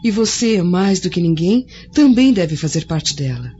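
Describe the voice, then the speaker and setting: An actress playing a gentle, devoted Roman wife in a radio drama, speaking softly from the heart. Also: female voice, on the low side, animated, a little slow, soft background music. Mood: tender. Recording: dull lo-fi audio with faint score.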